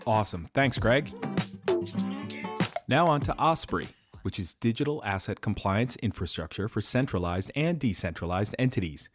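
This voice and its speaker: A man's voice: lowish voice, animated, moderate pace, faint music behind. A man hosting and reading scripted introductions of guests on a podcast. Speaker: host introducing startup founders.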